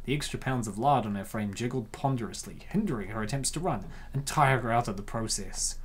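A man's voice: mid-range voice, a little fast, husky. A man narrating a comic as commentator, theatrical and slangy, riffing casually on it as he goes.

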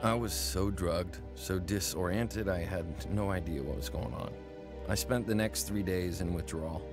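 An actor playing a troubled young male airman, narrating his story gravely in first person in a radio drama. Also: deep voice, normal speed, over music, close to an everyday voice. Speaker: narrator and main character.

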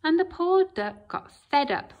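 A woman teacher reading a picture book aloud to her pupils in an empty classroom, with expressive storytelling. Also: sits high, measured pace, highs cut off.

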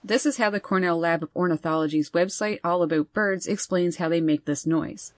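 A woman host reading scripted nature narration over wildlife footage in a measured, informative way. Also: on the low side, no room sound. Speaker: birding channel host.

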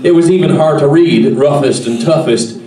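A man's voice: a little high, moderate pace, a crowd in the background, natural voice. Man as radio western storyteller, echoey hall. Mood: deliberate narrating. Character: dry storyteller of a Wild West radio tale. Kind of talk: old-time radio narration. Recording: echoey hall, distant mic, audience noise.

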